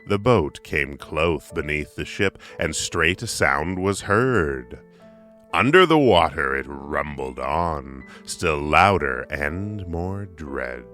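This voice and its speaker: A man's voice: a bass voice, expressive, slow pace, a bit bright. A man reading poetry dramatically for an audiobook, theatrical, with big swells and drops in volume. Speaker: poetry reader. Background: music.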